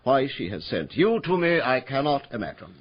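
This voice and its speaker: Sinister English aristocrat for radio drama: haughty, disdainful, upper-class accent, rough-edged animated voice. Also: male voice, mid-range voice, normal speed, highs cut off. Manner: clipped, disdainful, pompous.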